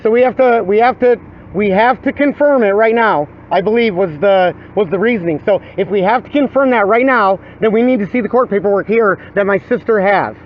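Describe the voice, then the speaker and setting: A man's voice: high voice, brisk. A man with a strained, wearing voice, talking in an insistent, repetitive plea as he livestreams a dispute. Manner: insistent, pleading, repeats himself. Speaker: livestreamer filming own dispute with police. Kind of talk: agitated pleading to officers and viewers. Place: outdoors by a street with traffic. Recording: dull lo-fi outdoor recording, faint traffic noise.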